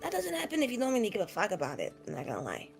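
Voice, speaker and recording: A woman playing a tabletop roleplaying game, chatting casually in an animated, rambling and frank way. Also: mid-range voice, very fast, creaky, soft background music. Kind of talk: casual improvised roleplay chat.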